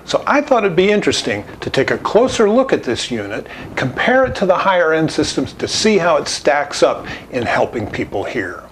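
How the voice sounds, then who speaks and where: A man narrating a product review as an audio installer, explaining clearly and at a measured pace. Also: fairly high pitch, a little hiss or hum.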